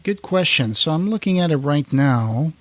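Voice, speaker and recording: A man's voice: fairly high pitch, animated, normal speed, no room sound. A man co-hosting a podcast, chatting casually and informatively about horror collectibles. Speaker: podcast host.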